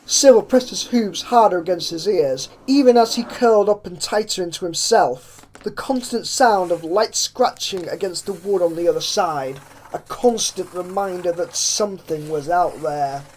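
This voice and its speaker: A woman reading a horror story aloud as a narrator, with deliberate, theatrical emphasis. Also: on the low side, a little mellow, a little hiss or hum. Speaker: narrator reading fan fiction.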